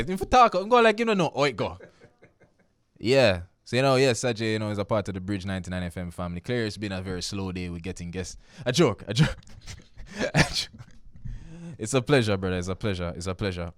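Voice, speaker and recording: A Jamaican man hosts a casual radio talk show in a low, smooth voice. He talks in loose, repeating phrases and keeps checking that listeners follow him. His studio sound is dry and close. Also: animated, fairly quick, fairly bright tone, dry recording. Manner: repeats phrases, asks "you understand me?".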